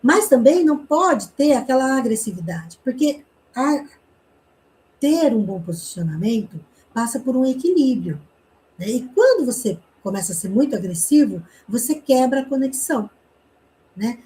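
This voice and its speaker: A woman teaches a communication class. Her voice sits fairly high but sounds soft and mellow. She explains each point patiently, asking rhetorical questions and checking in with tag questions. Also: big pitch swings, moderate pace, low-quality recording. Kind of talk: online class lecture.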